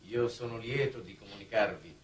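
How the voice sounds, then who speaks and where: A suave, calculating male diplomat played by an actor with a lowish voice and formal, assured delivery. It is an old television drama soundtrack, slightly noisy and off the mic. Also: normal speed, recorded from a distance, close to an everyday voice. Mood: courteous, formal. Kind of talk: 1960s Italian television drama acting. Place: television studio set.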